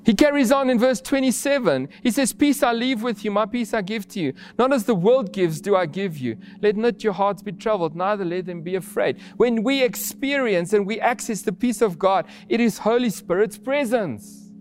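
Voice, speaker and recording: High-voiced man preaching a sermon. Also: normal speed, few pauses. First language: Afrikaans. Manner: earnest, with restarts and soft laughs.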